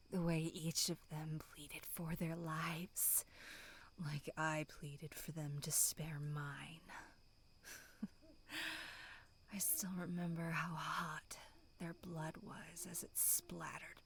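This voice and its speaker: Female performer as low, seductive monster woman for horror ASMR. Hushed, vengeful, extremely slow delivery. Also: vocal fry, husky, heavily acted. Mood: relishing, seductive. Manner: slow, hushed, half-whispered, savoring taunts. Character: sinister, seductive female monster in human skin, vengeful and amused.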